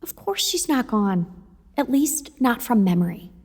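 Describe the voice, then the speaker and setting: A woman's voice: on the high side, sing-song, a little slow, darkish tone, breathy edge, big-room sound, faint music behind, heavily acted. A woman playing a wry, chatty, caring young companion in an audio drama. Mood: soft, sympathetic.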